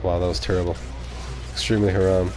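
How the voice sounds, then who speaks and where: Low-voiced casual male game streamer. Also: level tone, quick pace, over music. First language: French. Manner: casual, reacting aloud to the game, muttering asides. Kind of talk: live gameplay commentary.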